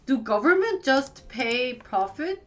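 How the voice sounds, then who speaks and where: Woman, Wisconsin accent, live streamer, casual chat, quick questions back, slightly high voice. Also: sing-song. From United States. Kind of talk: casual chat answering viewers.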